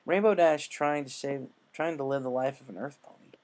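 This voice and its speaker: Man narrating a story, medium pitch. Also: moderate pace, a little mellow. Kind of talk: scripted fan fiction narration.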